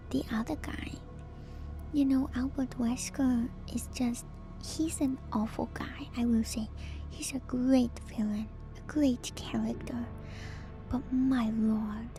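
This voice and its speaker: Woman, soft breathy whisper, unhurried pace, ASMR reading with casual asides, clear background music. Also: sits high. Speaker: streamer and host.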